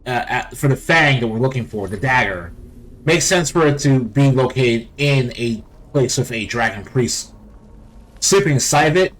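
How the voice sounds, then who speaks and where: A man's voice: medium pitch, lively intonation, moderate pace, a bit bright, low-quality recording. A man hosting a let's play with casual, offhand and wry game commentary.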